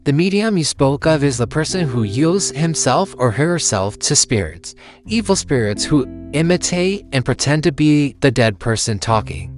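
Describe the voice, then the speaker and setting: A woman's voice: deep voice, animated, normal speed, soft background music, voice pushed down. A young woman voicing a stern, devout father in a read-aloud story, speaking solemnly and preachily. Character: stern devout father, grown man, lecturing.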